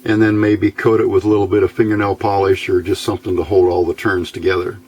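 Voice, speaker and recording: A man giving a casual hands-on electronics tutorial, halting and thinking aloud as he goes. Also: low-pitched, little pitch movement, a little mellow, a little hiss or hum. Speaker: electronics hobbyist video host.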